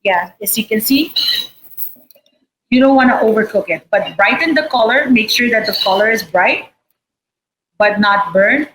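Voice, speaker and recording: Filipino lady chef explaining cooking casually, kinda halting, some noise behind her. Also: fairly high pitch, animated, fairly bright tone, low-quality recording. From Philippines. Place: indoor restaurant space behind a counter. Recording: noticeable background noise and room ambience.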